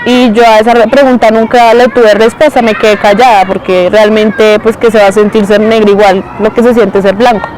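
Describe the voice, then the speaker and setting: A young woman recalling childhood experiences in a soft, reflective way. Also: a little high, fairly even intonation, brisk, few pauses, rough recording. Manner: soft, reflective, mimics schoolmates. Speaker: interviewee. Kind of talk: personal testimony.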